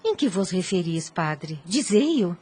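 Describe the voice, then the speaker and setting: A woman playing a devout, gentle, weary mother in an audio drama, speaking formally and earnestly. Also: fairly low pitch, very animated, slow pace, distant mic. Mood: curious, courteous. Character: devout, gentle, ailing mother worn before her time.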